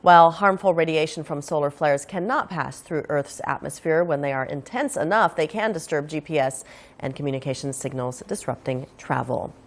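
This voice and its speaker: A woman with a low-pitched voice narrates a scripted news explainer. She reads as a news narrator laying out facts. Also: normal speed, a little hiss or hum.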